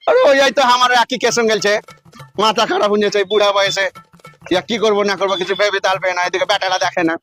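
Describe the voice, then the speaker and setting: Harried elderly village man male actor, high and quick, rural Birbhum Bengali accent, brusque complainer for a comic skit. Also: pushed and bright, faint music behind.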